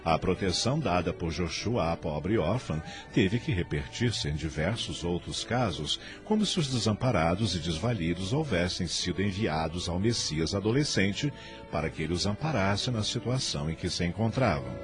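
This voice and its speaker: Deep, solemn male radio drama narrator. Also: expressive, moderate pace. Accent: Brazilian. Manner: solemn, literary, dramatic phrasing. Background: music.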